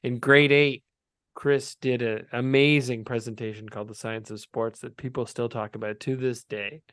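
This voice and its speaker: A man in his forties hosts a casual podcast, teasing his co-host and rambling through long asides, quick to laugh. His voice is mid-pitched and sounds close and dry, with no room around it. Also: steady tone, fairly bright tone, dry recording. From Canada. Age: 40s. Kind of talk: casual chat.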